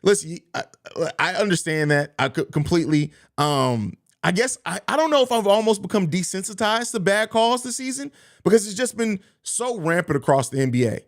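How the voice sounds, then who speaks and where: A man hosting a sports podcast live stream, reading viewer comments aloud and reacting to them in a relaxed, conversational way. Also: sits high, fairly quick.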